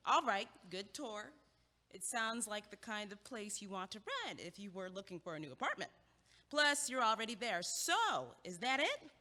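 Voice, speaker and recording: An actress in an audio play, playing a wry young woman who teases her friend with quick wit, recorded with a long hall reverb. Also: mid-range voice, lively intonation, sharp tone. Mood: teasing. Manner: teasing, quick-witted delivery.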